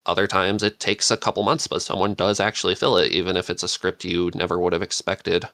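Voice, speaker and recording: A man giving casual advice as a podcast panelist, halting and thinking aloud as he goes. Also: low-pitched, flat delivery, a bit bright, dry recording. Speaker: podcast panelist, script writer.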